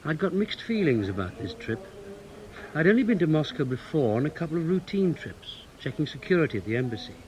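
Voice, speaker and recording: An actor playing a matter-of-fact intelligence officer in a radio drama, plain-spoken and reflective. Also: male voice, a little high, very animated, fairly quick, a little mellow, highs cut off. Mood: reflective, uneasy. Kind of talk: radio drama acting, first-person narration.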